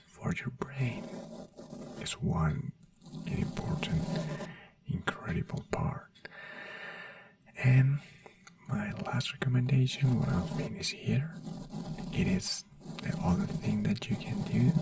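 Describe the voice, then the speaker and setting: Slow whispering man, soft and far. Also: mid-range voice, creaky. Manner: slow, gentle whispering in non-native, halting English. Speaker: ASMR creator playing a doctor. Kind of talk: whispered ASMR health advice.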